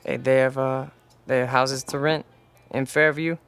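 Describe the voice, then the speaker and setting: A man acts an eager young biker who wants to fit in, in a radio play. His medium-pitched voice is hesitant and a bit stiff with slang. There is faint music behind it. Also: little pitch movement, normal speed, fairly bright tone, smooth, lightly acted. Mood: awkward, curious. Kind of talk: radio drama acting.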